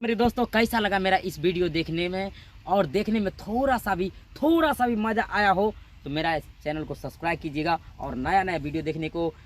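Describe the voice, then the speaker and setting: A man's voice: sits high, a little hiss or hum. A man hosting a YouTube channel with comic reaction commentary, clownish and often repeating his words and phrases.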